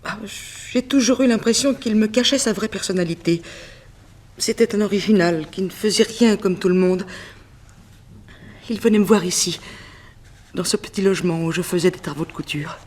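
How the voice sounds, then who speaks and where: A woman's voice: mid-range voice, moderate pace, pauses often, darkish tone, natural voice. An actress playing a grieving middle-aged mother, a former seamstress, in a plaintive, dramatic 1960s French radio mystery style. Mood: wistful, tender.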